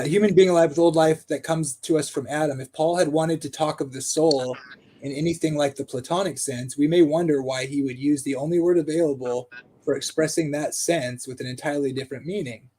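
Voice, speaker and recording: A man in casual discussion who reads passages aloud and thinks out loud, halting with fillers. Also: fairly high pitch.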